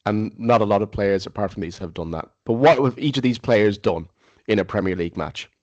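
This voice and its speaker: A man hosting a casual football quiz on a podcast. He reads the questions slowly and fills pauses with ums and uhs. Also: fairly low pitch, a little mellow, no room sound, muffled recording. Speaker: quiz host.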